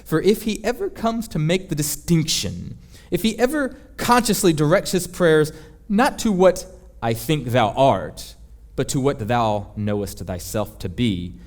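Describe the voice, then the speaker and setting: A man voicing a senior demon who instructs his apprentice in formal, deliberate, scheming words, as a dramatic book reading. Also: on the high side, expressive.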